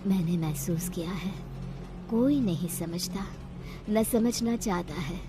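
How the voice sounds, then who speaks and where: A woman's voice: fairly low pitch, slow pace, over music. A woman voicing a mysterious grieving ghost woman, brooding and mournful, in a horror story. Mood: mournful, eerie. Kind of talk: animated horror story character acting.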